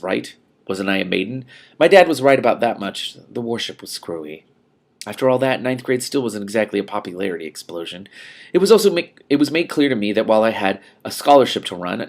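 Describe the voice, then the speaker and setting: Low-pitched, creaky man reading a novel aloud. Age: adult. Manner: animated, reacts aloud to the text and breaks off to comment. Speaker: reader and commentator of a book read-along show. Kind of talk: expressive read-aloud of a novel with spontaneous asides.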